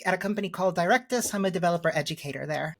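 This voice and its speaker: A woman's voice: fairly low pitch, very fast. Joking woman guest from Chile. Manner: self-deprecating, joking. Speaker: podcast guest. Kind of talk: casual interview.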